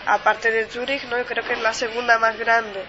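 A woman's voice: fairly high pitch, little pitch movement, moderate pace, dull audio, background noise. A woman in her twenties from Switzerland, a master's student speaking as a guest in a casual radio interview, often adding tag questions. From Ticino, Switzerland.

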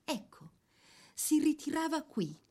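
A woman performing as a theatrical narrator, presenting a life story in scripted, measured phrases with pointed pauses. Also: sits high, sing-song, dark tone, full character voice. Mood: informative. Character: woman narrator presenting a dead poet's life through photos. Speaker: narrator in a staged biography of a poet. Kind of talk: scripted dramatic narration, showing photographs.

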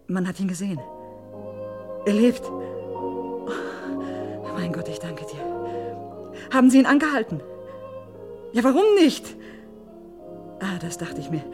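An actress in a German radio crime drama playing an anxious, jealous wife, agitated and desperately worried. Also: female voice, on the low side. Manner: agitated, swings between hushed brooding and urgent calls.

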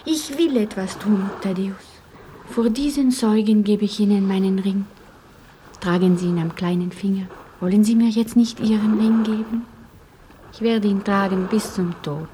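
A woman's voice: medium pitch, fairly even intonation, moderate pace, dark tone, slight background noise, close to an everyday voice, low-quality recording. An actress playing a devoted, self-sacrificing woman in love in a German radio play, grave, fervent and theatrical.

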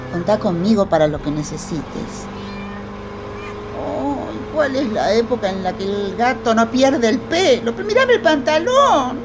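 A woman playing a bossy, motherly, theatrical troupe actress in a radio drama, with an Argentine accent and exclamatory, fussy talk. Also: fairly high pitch. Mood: protective. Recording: noisy vehicle ambience.

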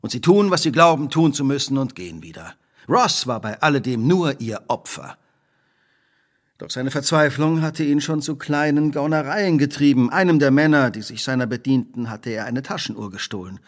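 An actor playing a stern, incisive gentleman detective who speaks in a deliberate, accusing way in a radio drama. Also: mid-range voice.

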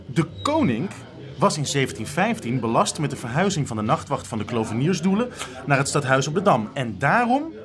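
An actor playing an excitable, ambitious art expert in a naturalistic radio drama, enthusiastic and persuasive. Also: male voice, mid-range voice, fairly quick, over music, lightly acted.

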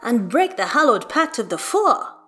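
A woman's voice: fairly high pitch, sing-song, moderate pace, fairly bright tone, faint music behind, voice pushed up. A woman voicing an affable, gallant man with a friendly, hearty manner in an audio story.

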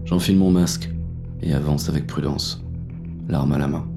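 A wary male inspector played by a man narrates his break-in in short, tense phrases. His voice is deep, with a heavy gravelly creak. Music plays clearly behind this audio drama monologue. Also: steady tone, normal speed. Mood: wary, focused. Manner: terse, tense inner monologue with coarse asides. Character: gruff, wary male police inspector turned burglar.

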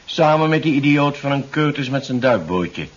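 A husky-voiced, cold-blooded alien leader, speaks with methodical authority at a middling pitch. It sounds like a dull, boxy 1970s radio play. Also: male voice, expressive. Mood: scornful. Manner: measured, methodical, coolly authoritative. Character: cold, scheming alien-controlled leader plotting mass extermination. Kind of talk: 1970s radio drama acting. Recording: dull, band-limited 1970s radio recording.

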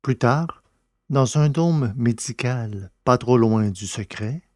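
A man's voice: medium pitch, lively intonation, slow. A man reading scripted narration and credits for an audio drama.

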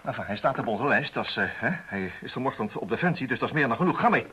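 An actor playing a brisk, dogged, wry investigator in a radio drama. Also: male voice, medium pitch, lively intonation, lightly acted. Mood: urgent. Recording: dull, faintly noisy old radio recording.

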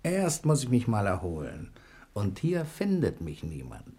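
A wily, easygoing adventurer holed up in a cell in a vintage radio crime play. His voice sits fairly low and swings freely in pitch. He talks in a chummy, wry, unhurried-by-trouble way. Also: huge pitch swings, dark tone. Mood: chummy, breezy. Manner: laid-back, chummy, wry. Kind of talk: 1960s German radio crime drama acting.

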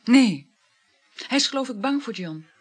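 A flirtatious woman in a radio play. Her voice is husky and swings up and down as she gushes and sighs, chattering about lovers in an effusive, coaxing way. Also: on the high side, lively intonation, unhurried. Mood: coy, fond. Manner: chatty, effusive, sighing exclamations. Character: flirtatious, gushing, money-strapped woman juggling several lovers. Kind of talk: naturalistic radio play acting.

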